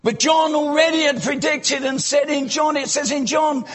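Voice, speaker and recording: A man preaching a sermon in a church, animated, breaking into loud exclamations and asking the congregation rhetorical questions. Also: very high voice, moderate pace.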